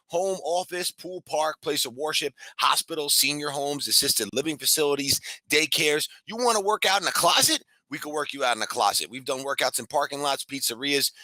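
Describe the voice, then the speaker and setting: A high-energy man speaking as a business founder being interviewed, in an emphatic, boastful motivational style. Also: sharp tone, no echo, low-quality recording. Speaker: fitness franchise founder and CEO, guest.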